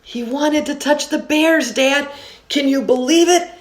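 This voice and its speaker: A woman reading as an excitable, exasperated fourth-grade boy, animated and incredulous. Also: high voice, measured pace, echoey room, voice pushed up.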